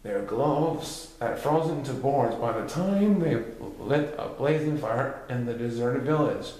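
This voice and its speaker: A man's voice: mid-range voice, expressive, unhurried, a little mellow. A man reading a written history story aloud as a narrator, occasionally stumbling over a word. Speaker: reader. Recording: very echoey, reverberant room.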